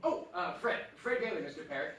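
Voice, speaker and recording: An actor plays an eager, literal-minded male newcomer in stage comedy. He sounds high and animated, nervously polite, distant in an echoing theatre. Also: brisk. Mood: eager, confused. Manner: eager, hesitant. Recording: distant, echoey theatre with faint noise.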